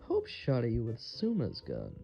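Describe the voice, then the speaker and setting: A man's voice: mid-range voice, wildly swooping pitch, measured pace, vocal fry, breathy edge, highs cut off, soft background music, exaggerated voice. A man playing a clever, confident defense attorney in an audio drama, pointed and theatrical in delivery. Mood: pressing. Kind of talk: amateur audio drama acting.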